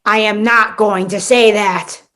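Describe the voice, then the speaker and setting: A woman's voice: mid-range voice, steady tone, measured pace, fairly bright tone, natural voice. An actress playing an exasperated, sarcastic young woman stuck in a sitcom world, in a comedic radio play. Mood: skeptical, annoyed. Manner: sarcastic, incredulous.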